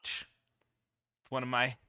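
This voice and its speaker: A man's voice: takes it slowly, bright, forceful tone, no echo. A man giving casual, rambling live commentary as a game streamer, with wry asides.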